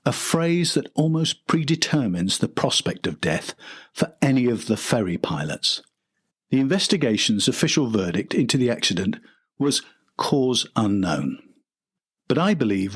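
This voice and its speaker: A man's voice: mid-range voice, sing-song, a little slow, breathy edge. An older man narrating a documentary from a script.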